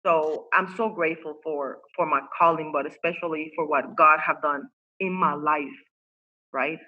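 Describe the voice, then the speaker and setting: A woman's voice: low-pitched, fairly even intonation, normal speed, sharp tone, dull audio. An earnest woman whose first language is Spanish, a life coach talking about her faith in an interview, with many fillers and restarts. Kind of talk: faith-based interview conversation. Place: home.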